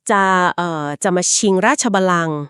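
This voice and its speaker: Woman cohost telling an old legend like a chat, polite little pauses, dry close mic. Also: on the low side, fairly bright tone, clean tone, no echo. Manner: explains at length with polite particles and filler pauses. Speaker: podcast co-host. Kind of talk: conversational storytelling of a folk legend.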